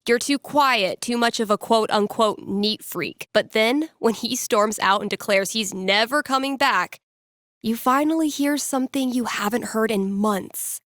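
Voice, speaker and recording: A woman's voice: on the high side, unhurried, fairly bright tone, dry recording, studio quality. A woman playing a wry young radio DJ and playful fortune-teller in a video game, speaking in a casual, teasing storyteller's way. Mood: wry storytelling. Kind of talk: video game voice acting, conversational second-person storytelling.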